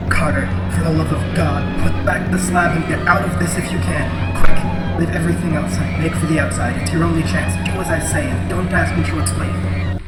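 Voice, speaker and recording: A man's voice: fairly high pitch, fairly even intonation, normal speed, reverberant, over loud music, over a noisy crowd, voice pushed up, lightly acted. A man voicing a doomed, terrified man in a horror story, urgently pleading in a shaky, strained voice. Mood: frantic pleading. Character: terrified doomed man crying warnings from a tomb.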